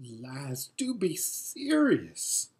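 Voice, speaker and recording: Woman voicing a fierce, urgent young runaway girl, very animated. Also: low-pitched, moderate pace. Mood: serious, anxious. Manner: hissing, insistent, commanding.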